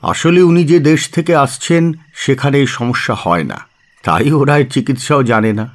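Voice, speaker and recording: An actor playing a grave Buddhist monk physician in an audio drama, speaking formally and earnestly in measured phrases. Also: male voice, medium pitch, natural voice. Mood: explanatory, concerned. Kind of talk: dramatic radio play dialogue in period setting.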